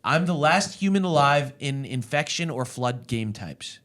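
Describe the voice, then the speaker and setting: A man with a medium-pitched voice works as an interviewer. He speaks casually, in short conversational turns. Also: animated, a little slow, a bit bright. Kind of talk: casual quiz-style chat.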